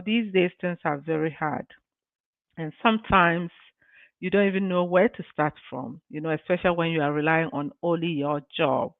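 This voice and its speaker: A woman's voice: fairly low pitch, no echo. A woman speaks to her live-stream viewers as a business mentor, earnest and encouraging, often saying "you know." Her voice comes through a tinny, phone-like line with no room sound around it. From Ghana. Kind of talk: motivational live-stream talk.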